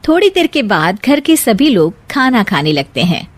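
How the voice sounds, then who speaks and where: A woman's voice: mid-range voice, big pitch swings, moderate pace, dry recording. A woman narrating a scripted comic family story as a storyteller.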